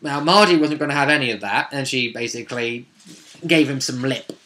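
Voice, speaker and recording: A man with a mid-pitched voice talks through a roleplaying session in an unscripted, casual way. He rambles a little, using wordy phrasing and side remarks. He often reworks a sentence as he tells the story. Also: brisk, bright, forceful tone. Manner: rambling, wordy, with asides and self-corrections. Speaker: vlogger recapping a tabletop roleplaying session.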